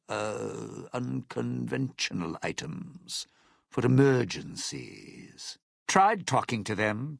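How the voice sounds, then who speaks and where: Smug old lounge crooner, video game character, gravelly rasp, low pitch, swooping sardonic delivery, cynical and guarded. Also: male voice, dry recording, clean studio sound, full character voice. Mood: wry, explanatory, then grim warning. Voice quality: gravelly, raspy. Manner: sardonic, showy, drawling.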